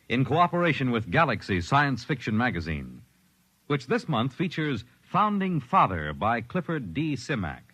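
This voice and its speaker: A man's voice: medium pitch, a bit bright, husky, dry recording. An American man announcing credits in a formal, polished 1950s radio style. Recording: vintage radio broadcast, faint music behind. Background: faint music.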